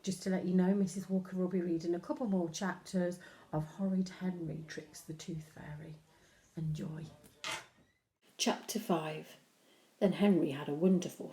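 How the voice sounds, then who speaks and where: A woman reading a children's story aloud with animated, deliberate, expressive narration. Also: lowish voice. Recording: echoey room.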